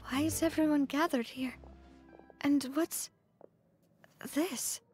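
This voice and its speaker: A woman's voice: sits high, steady tone, slow pace, over music. A woman voicing an earnest, heartfelt young female boss in a video game. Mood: weary, uneasy.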